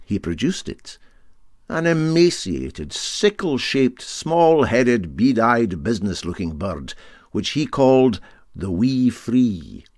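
A man's voice: mid-range voice, no room sound, clean studio sound. A man with a Scottish accent reading a story as a scripted audiobook narration.

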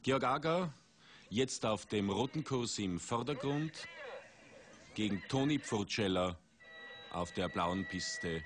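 A man commentating a ski race for TV, measured and rising in excitement at big moments. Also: lowish voice, music in the background. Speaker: sports commentator. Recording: 1980s TV broadcast with outdoor noise.

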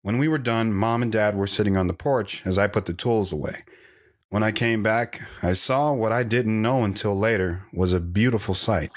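A man's voice: fairly low pitch, normal speed, close to an everyday voice. A man playing a wanderer recounting his hard life in first-person audio drama narration. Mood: reflective.

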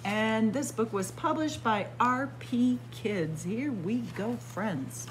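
A middle-aged woman reads aloud to young children, taking each word slowly and deliberately. Her voice rises and falls in lively, animated swoops. A faint hum sits under the close webcam sound of a small library room. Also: on the high side. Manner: slow, deliberate word-by-word reading with lively teacherly emphasis. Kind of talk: picture-book read-aloud for kids. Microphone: webcam or phone close up at arm's length.

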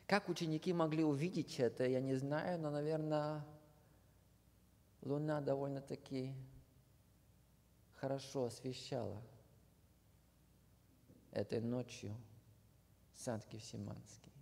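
A man preaching a sermon, slow and earnest, often dropping to a hushed near-whisper at the ends of phrases. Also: mid-range voice, vocal fry. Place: church hall. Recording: long hall reverb.

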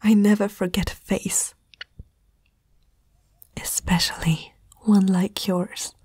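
Casting: woman to play young fantasy princess, regal and fearful, heavily breathy whisper, slow formal delivery for ASMR roleplay. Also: mid-range voice, fairly even intonation, a little mellow, no echo, clean studio sound. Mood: defiant, fearful. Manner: haughty, formal, halting. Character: proud, frightened eighteen-year-old princess confronting a night intruder. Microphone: close microphone, dry.

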